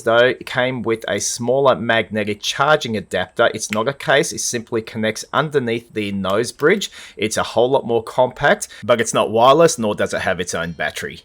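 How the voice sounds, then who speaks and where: A man's voice: medium pitch, fairly bright tone. A man giving a conversational gadget review, explaining things in an informal, upbeat and colloquial way. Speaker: product reviewer.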